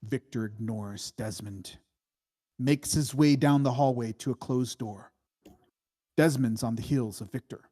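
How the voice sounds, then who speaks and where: A man's voice: mid-range voice, a little slow, warm tone. Guy narrating a movie script, takes his time, suspenseful and dramatic. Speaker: narrator reading stage directions.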